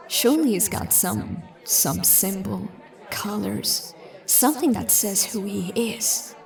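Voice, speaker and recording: Woman acting a creaky-voiced, determined sci-fi detective. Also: medium pitch, recorded from a distance. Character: determined, sceptical female sci-fi police detective. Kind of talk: audio drama dialogue and investigative monologue.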